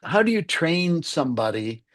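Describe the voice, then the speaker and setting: A man hosting a podcast interview, calmly asking questions on behalf of his listeners. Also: fairly high pitch, moderate pace. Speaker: podcast host.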